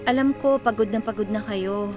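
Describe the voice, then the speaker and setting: A woman's voice: medium pitch, moderate pace, over music, natural voice. A woman playing a dutiful, earnest, wistful young college student in a radio drama. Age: young adult.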